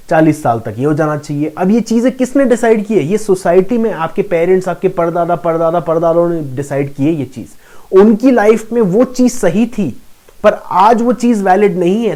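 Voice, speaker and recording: A man's voice: high voice, a little mellow. A man giving a motivational self-help lesson, explaining steadily and repeating key phrases for emphasis, with English phrases mixed into his Hindi. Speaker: law of attraction coach. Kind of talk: motivational talk to camera.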